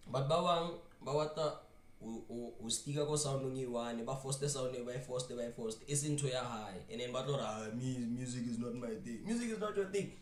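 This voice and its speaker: A man's voice: medium pitch, a little fast. A South African man commenting casually, rambling and repeating words while mixing in local slang. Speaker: reaction streamer. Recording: echoey room, far from mic.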